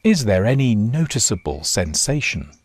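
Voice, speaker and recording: A man guiding a body scan meditation in short, measured instructions with long pauses. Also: on the low side, big pitch swings, no echo. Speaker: meditation guide. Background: nature sounds.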